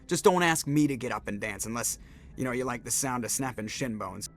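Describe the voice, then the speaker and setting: A man acts out a young starship pilot from a sci-fi game, brash and wisecracking. His voice has a gravelly fry to it. He sounds sarcastic and quick to defend himself, over faint music. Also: mid-range voice, lively intonation, close to an everyday voice. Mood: dry, sardonic. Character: cocky, wisecracking male starship pilot with brittle bones. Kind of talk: video game voice acting.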